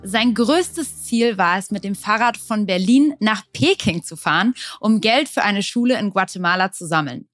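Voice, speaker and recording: A woman's voice: mid-range voice, animated, normal speed, hardly any pauses, a bit bright, no echo, clean studio sound, soft background music. A woman hosting a podcast, reading a scripted introduction and then chatting casually with her guest in an upbeat, friendly way. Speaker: podcast host.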